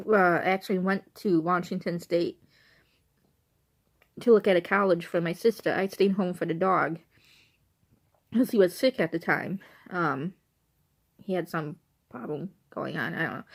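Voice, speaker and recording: A young woman from Illinois with an Illinois accent, her voice hoarse and her nose stuffy. She talks casually to a handheld camera from her couch at home, rambling and circling back over her own sentences. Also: fairly low pitch, moderate pace, long pauses. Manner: rambling, repeats and restarts herself. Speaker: vlogger.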